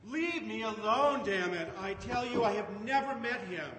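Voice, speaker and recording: A woman's voice: on the high side, animated, moderate pace, fairly bright tone. A woman voicing a frightened grown man who keeps denying irritably that he knows his friend. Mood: defensive. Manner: defensive, irritated denials. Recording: roomy, long reverb.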